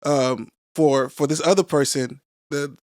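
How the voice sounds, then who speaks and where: A man's voice: a little high, fairly even intonation, a little slow, a little mellow, dry recording, clean studio sound. A man from Nigeria hosting a podcast, asking curious questions and encouraging his guest with frequent agreement. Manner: curious, encouraging, many "mm-hmm"s. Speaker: podcast host. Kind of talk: casual interview chat.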